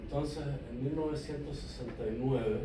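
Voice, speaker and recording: A man's voice: mid-range voice, moderate pace, distant mic. A man in his fifties with a Chilean accent, whose first language was German, talks through his memories in a digressive, anecdotal way. The mic sits well away from him, so his voice rings around a very echoey hall. Room noise stays plainly audible underneath. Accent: Chilean Spanish. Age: 50s. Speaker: invited speaker at a conference. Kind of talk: personal testimony talk. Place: university lecture hall.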